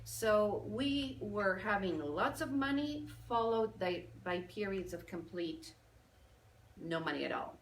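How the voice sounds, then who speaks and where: A woman with a Polish accent speaks in an engaging, lively way. Her voice carries through an echoey room, and she sounds some distance from the mic. A faint hum of ambience sits underneath. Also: medium pitch, slow. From Poland. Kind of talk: motivational business talk. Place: event room with audience.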